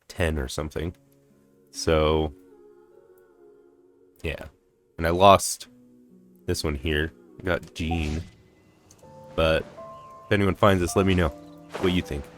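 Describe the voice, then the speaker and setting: A man giving casual playthrough commentary as a game streamer, rambling along in a hushed, hesitant way. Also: deep voice.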